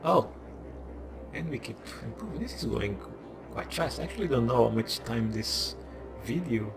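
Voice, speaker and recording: A man's voice: medium pitch, lively intonation, normal speed, vocal fry. A young man with a Portuguese accent, casually thinking aloud and rambling while he plays a city-building game into a desk microphone. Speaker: gameplay video creator. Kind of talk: casual gameplay commentary. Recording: loud background music.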